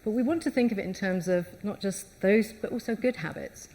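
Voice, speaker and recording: A woman's voice: medium pitch, a little fast, warm tone. A woman co-presenting a live conference session, explaining and speaking directly to the audience.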